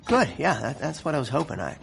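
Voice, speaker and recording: Blustery us general, middle aged, folksy and sweary, stammers, radio drama, bit of background noise. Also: male voice, mid-range voice, big pitch swings, quick pace, gravelly, a bit bright, exaggerated voice. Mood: conspiratorial, wry. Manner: stammering, exasperated, profane, folksy. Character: blustering, blunt American military intelligence chief, middle-aged.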